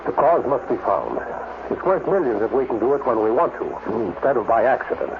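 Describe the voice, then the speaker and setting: A brisk, authoritative director in an old radio drama. His mid-pitched voice is very animated. The sound is muffled, with clear noise behind it. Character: brisk, demanding male research director. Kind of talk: 1950s radio drama acting. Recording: muffled, noisy 1950s radio recording.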